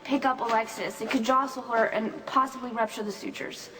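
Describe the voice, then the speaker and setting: Actress as frustrated young caregiver, soap drama: high voice, earnest and tense, noisy track. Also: female voice, fairly even intonation, fairly quick, close to an everyday voice. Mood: worried, frustrated. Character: worried, frustrated young woman tending the injured. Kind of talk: TV soap opera acting.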